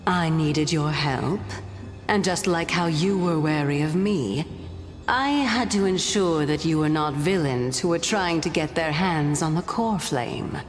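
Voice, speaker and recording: An actress playing a mysterious titan in disguise in a video game, gentle, wistful and knowing, with measured, formal, theatrical delivery. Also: female voice, low-pitched. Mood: composed, knowing. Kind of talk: scripted video game voice acting. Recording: long reverb, music behind. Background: game music.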